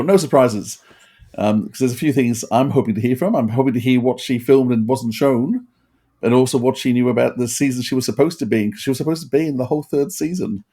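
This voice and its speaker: A man's voice: mid-range voice, dark tone, breathy edge. A man co-hosting a podcast, chatting casually and often stammering over repeated words. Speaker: podcast co-host and event organiser. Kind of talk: casual chat.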